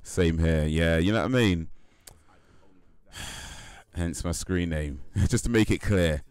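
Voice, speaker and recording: A man's voice: sits low, takes it slowly, darkish tone, clean tone, no room sound. A young man from the UK, chatting casually on his livestream, laid-back and often laughing, with lots of fillers and repeats. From United Kingdom. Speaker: livestream host. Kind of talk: casual chat with stream viewers.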